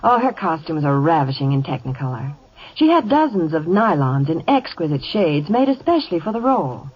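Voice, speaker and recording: A woman reads scripted sponsor banter on a muffled 1950 radio broadcast. Her voice is fairly low and husky, with an animated, swinging intonation. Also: brisk. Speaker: radio reporter and sponsor pitchwoman.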